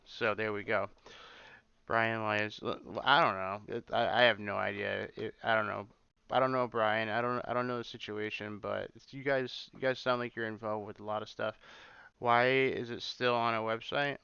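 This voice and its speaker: A man's voice: fairly low pitch, steady tone, moderate pace, gravelly, pushed and bright, clear, resonant tone, no room sound, lo-fi sound. A man hosting a casual livestream, rambling and repeating himself, swearing often as he reacts. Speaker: livestream host. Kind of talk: casual livestream chat reacting to clips and viewer comments.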